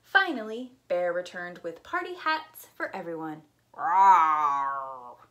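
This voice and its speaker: A middle-aged woman reading a picture book aloud as a preschool teacher, deliberate and expressive. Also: lowish voice. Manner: slow, deliberate, animated storyteller delivery. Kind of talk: expressive storytime read-aloud. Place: small indoor room with bookshelf.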